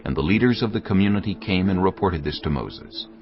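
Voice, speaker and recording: Casting note: male narrator, deep gravelly voice, measured scripture reading, dull track. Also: expressive, a little hiss or hum. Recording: dull audio with faint music behind.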